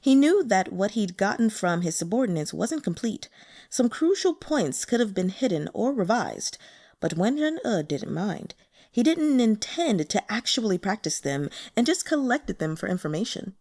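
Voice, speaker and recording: A woman narrates a novel in an expressive storytelling style. Her voice sits at an average pitch for a woman and moves widely in intonation. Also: moderate pace. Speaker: audiobook reader.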